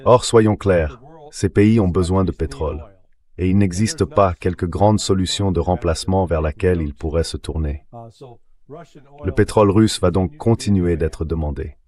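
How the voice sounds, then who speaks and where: Synthetic male dub voice, very deep, for a political pundit pressing arguments with rhetorical questions. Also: moderate pace, darkish tone. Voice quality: synthetic AI dubbing voice. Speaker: political commentator, interview guest. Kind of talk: dubbed voice-over of political commentary.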